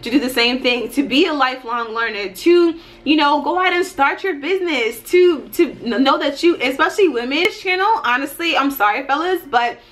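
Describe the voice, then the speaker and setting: A woman's voice: high-pitched, lively intonation, moderate pace, few pauses, fairly bright tone, faint music behind. A woman in her thirties giving a casual motivational vlog talk, emphatic and folksy, often checking in with her listeners. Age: 30s. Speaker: YouTuber and life and business coach.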